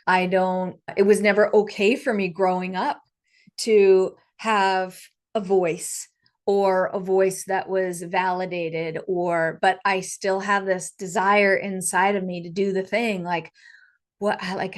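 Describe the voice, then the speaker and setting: Woman podcast host, normal mid pitch voice, chatty and emphatic, encouraging, working her way into a question. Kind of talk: conversational interviewing.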